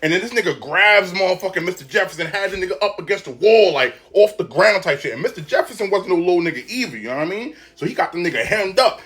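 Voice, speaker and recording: Fast-talking animated New man. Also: high-pitched. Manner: animated, slangy, constantly checking in with the listener. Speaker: storyteller. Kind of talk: casual storytime vlog.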